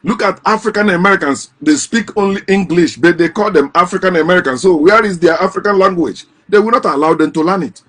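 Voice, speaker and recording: Impassioned man, fairly high voice. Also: fast talker, hardly any pauses, a bit bright. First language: Igbo. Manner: impassioned, repeats and restarts phrases. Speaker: host. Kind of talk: casual monologue.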